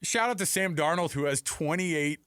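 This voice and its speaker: A man co-hosting a sports radio show, chatting casually and informally in an animated way. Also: on the high side, moderate pace, a little hiss or hum. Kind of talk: casual sports talk banter.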